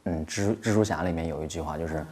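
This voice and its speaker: A young man from China answers questions in a very deep voice. He hesitates, restarts phrases and adds fillers as he thinks. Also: racing, a little mellow. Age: young adult. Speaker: comics artist, guest. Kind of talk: interview answers.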